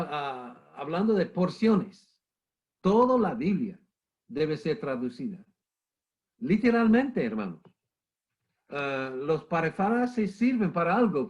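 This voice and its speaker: A man's voice: sits high, a little mellow. A middle-aged man with the flu giving an emphatic church history lecture, repeating key points and calling listeners brother. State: has the flu, coughing. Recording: muffled lo-fi recording.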